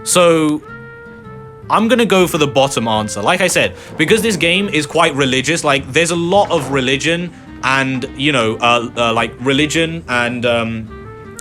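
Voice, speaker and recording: A man's voice: mid-range voice, lively intonation, normal speed, a bit bright, soft background music. A young man casually commenting on a game as he plays, chatty and rambling with many fillers. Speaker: gaming video host. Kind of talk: casual let's-play commentary.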